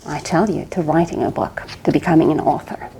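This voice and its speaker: A woman's voice: low voice, steady tone, brisk, warm tone, slight background noise, poor audio. A woman reading a picture book aloud to children, coaxing them with questions about the story. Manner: coaxing, asks the listener questions. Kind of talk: interactive read-aloud for children.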